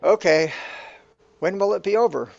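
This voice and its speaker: A man's voice: high-pitched, moderate pace, vocal fry, a little mellow, dry recording. A man playing an anxious, bewildered hero in a radio play, fretful and pleading, on a dull lo-fi recording. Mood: exasperated. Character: anxious, bewildered hero stranded in a surreal world.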